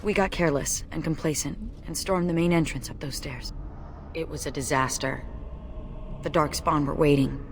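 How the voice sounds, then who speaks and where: Low-voiced, stoic dwarf warrior. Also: female voice, moderate pace, big-room sound, slight background noise. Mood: grim, resolute. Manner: deadpan, sober. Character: stoic female dwarf warrior, dry and dutiful. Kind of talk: video game voice acting.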